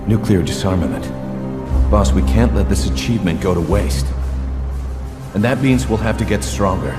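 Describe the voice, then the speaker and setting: An earnest, determined game soldier played by a man speaks in a lowish voice with clear echo, urging his boss on over music. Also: normal speed, close to an everyday voice. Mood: earnest, resolute.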